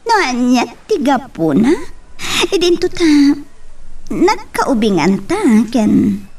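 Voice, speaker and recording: Woman playing a high-pitched, tipsy, coaxing young Ilocano woman. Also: huge pitch swings, takes it slowly, a little mellow, recorded from a distance, exaggerated voice. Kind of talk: amateur audio drama acting.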